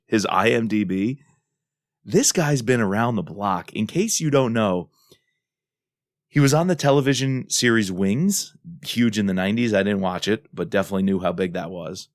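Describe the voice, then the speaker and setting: A man's voice: on the low side, animated, moderate pace, no room sound, clean studio sound. A man co-hosting a podcast in a casual, enthusiastic and emphatic way. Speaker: podcast co-host.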